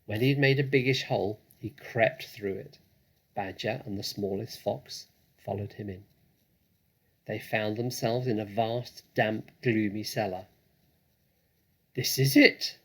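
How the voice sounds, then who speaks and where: A man reading a children's story aloud as an expressive narrator, with deliberate, dramatic phrasing. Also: fairly low pitch. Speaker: story reader.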